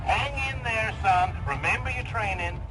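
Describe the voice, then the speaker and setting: A man's voice: high voice, unhurried, rough recording. An actor playing a deranged, commanding military colonel in a radio drama, fervent and fatherly, barking clipped orders. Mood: grave, fatherly.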